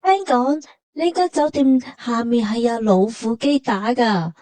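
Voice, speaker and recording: A woman with a Hong Kong Cantonese accent gives casual travel narration in a relaxed, mellow voice that sits a little high. Her delivery is colloquial and matter-of-fact, like scripted banter between companions. The recording is close and dry. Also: measured pace, no echo.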